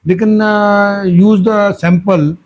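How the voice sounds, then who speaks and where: A man speaks in a husky, breathy tone, and his voice sits unusually high. He talks in a measured, formal way, checking his understanding as he goes. He is a guest, the host's father. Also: steady tone. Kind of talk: reading aloud and asking questions.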